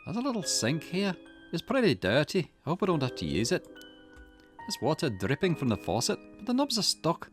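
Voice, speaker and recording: A man's voice: on the high side, huge pitch swings, moderate pace, faint music behind. A man voicing a confused, dramatic Scottish woman who has lost her memory, in a video game. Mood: curious, uneasy. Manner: bewildered, questioning, dramatic. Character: confused amnesiac woman waking in pain, visual novel protagonist.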